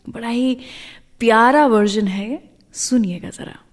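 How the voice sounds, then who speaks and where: A woman with an Indian accent presents a radio music show. Her voice sits a little high and carries a long, hall-like reverb. She talks in a chatty, conversational style and mixes English phrases in as she goes. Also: slow.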